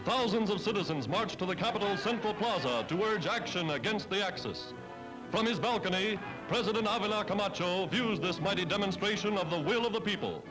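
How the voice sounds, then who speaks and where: A man's voice: high voice, animated, a little fast, poor audio. An American man narrating a scripted 1940s wartime newsreel in an emphatic, declamatory manner, on a vintage soundtrack. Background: loud-ish music under the voice.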